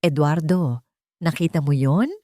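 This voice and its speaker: A woman voicing a melodramatic, accusing woman who poses as a protective mother, shrill and indignant. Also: unhurried, dark tone, no room sound, clean studio sound.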